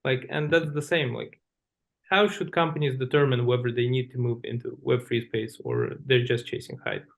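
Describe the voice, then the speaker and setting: Male podcast host with a creaky voice, loose rambling questioner. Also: medium pitch, fairly even intonation, moderate pace. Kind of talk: podcast interview.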